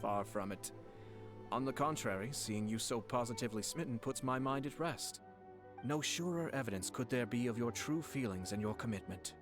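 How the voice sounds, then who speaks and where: An actor playing a composed, shrewd young nobleman in a game, speaking formally, politely and with measured words. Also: mid-range voice, close to an everyday voice. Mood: gracious. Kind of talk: game character acting. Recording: faint music behind the voice.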